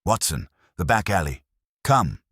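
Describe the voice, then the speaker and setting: A man reading the part of a terse, brooding Victorian detective in an audiobook, grave and deliberate. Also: sits low, sing-song, a bit bright, husky, no echo, clean studio sound, exaggerated voice. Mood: terse, focused. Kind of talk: audiobook character dialogue.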